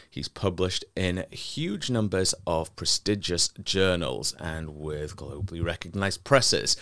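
Deep-voiced effusive podcast host, man. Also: no room sound, clean studio sound. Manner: warm, effusive praise of the guest. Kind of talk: interview host introduction.

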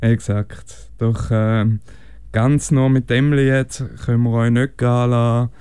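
A man's voice: medium pitch, fairly even intonation, slow pace, mellow, clean tone, no echo. A man chatting casually as co-host of a music radio show. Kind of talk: casual studio chat between records. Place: studio.

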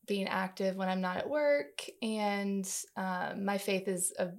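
A woman's voice: mid-range voice, unhurried. A woman in her thirties chatting informally as an interview guest, telling personal stories in a casual way. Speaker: guest, marketing manager.

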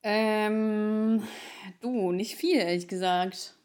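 A woman's voice: mid-range voice, steady tone, very slow, a little mellow, clean tone. A young woman from Ukraine, co-hosting a casual chat podcast, teasing and playful in her talk. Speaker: podcast co-host.

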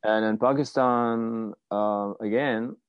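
A man in his fifties from Afghanistan telling his own story as an interview guest, with a halting, hesitant delivery. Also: fairly low pitch, fairly even intonation, smooth, no room sound, dull audio. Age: 50s. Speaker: guest, martial arts teacher.

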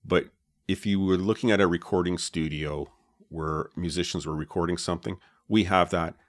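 A man with a low voice, co-hosting a podcast and explaining his gear in a relaxed way, with many fillers, on a dynamic mic with a pop filter. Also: normal speed, dry recording, studio quality. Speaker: podcast co-host. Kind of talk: casual chat about podcast gear. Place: home podcast setup. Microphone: dynamic podcast mic with pop filter and foam cover.

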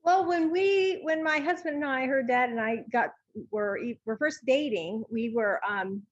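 A woman's voice: high voice, no echo, highs cut off. A middle-aged American woman recalling family life as a podcast guest, hesitant and often backtracking. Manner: hesitant, backtracking, many pauses. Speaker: podcast guest, adoptive mother. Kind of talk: personal recollection in conversation.

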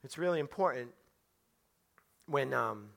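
A man preaching a sermon in a conversational way, swinging between hushed and loud passages and repeating his phrases. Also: mid-range voice, lively intonation, measured pace, creaky.

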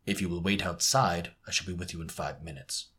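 A man doing a low, husky, commanding detective. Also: brisk, exaggerated voice. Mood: quiet command. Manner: quiet, clipped authority. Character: sharp, commanding middle-aged male detective.

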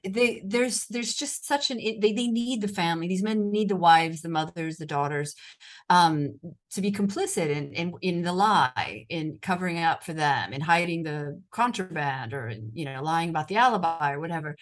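An American woman with a lowish voice talks through her answers in an interview. She is thoughtful and conversational, and she often circles back and restarts her sentences as she explains. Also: moderate pace. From United States. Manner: thoughtful, with frequent restarts and fillers. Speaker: journalist and author, interview guest.

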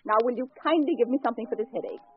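An imperious, long-suffering wife in a vintage radio drama. She speaks in formal, clipped commands with wide swings of pitch and a slightly husky edge. The recording is dull and aged. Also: animated, racing. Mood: icy, commanding. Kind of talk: 1940s radio drama acting.

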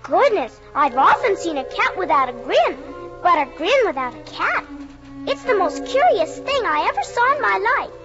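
A woman's voice: very high-pitched, sing-song, normal speed, full character voice. A woman voicing a polite, curious girl lost in a strange land, in a dull old film recording with music. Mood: earnest. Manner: polite, wondering, earnest.

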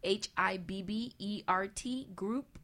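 Female panelist, mid-pitched, earnest and insistent, builds points in short repeated phrases. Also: slow pace, fairly bright tone. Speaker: real estate professional, guest panelist. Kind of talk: conversational panel talk.

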